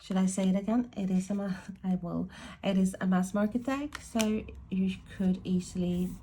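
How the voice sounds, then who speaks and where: A woman from Ireland with a Swedish-tinged accent, chatting casually in a slow, thoughtful, meandering way as she reviews tarot and oracle decks at home. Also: medium pitch, fairly even intonation, dark tone, a little hiss or hum. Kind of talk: casual deck review chat.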